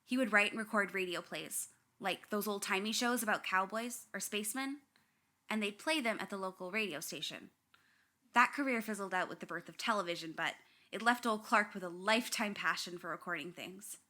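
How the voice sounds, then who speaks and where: A woman's voice: mid-range voice, a little fast, pushed and bright, natural voice. A young woman playing a candid, wry daughter haunted by family tragedy, narrating a radio drama. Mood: reflective, wry. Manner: reflective, wry. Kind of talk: first-person scripted narration.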